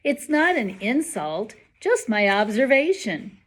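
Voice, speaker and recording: A woman voicing a quiet, observant, bookish boy with a measured, matter-of-fact manner in a storybook. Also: a little high, big pitch swings, exaggerated voice.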